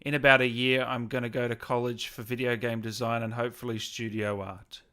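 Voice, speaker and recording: A man's voice: medium pitch, flat delivery, a little fast, sharp tone, clear, resonant tone. A man hosting a casual interview, speaking in an agreeable, slightly hesitant conversational way. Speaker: interviewer, channel host. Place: on a video call.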